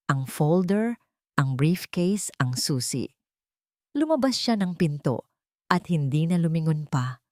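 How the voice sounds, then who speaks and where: A woman narrating a dramatic romance story in a measured, somber reading. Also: deep voice, a little mellow, dry recording, clean studio sound.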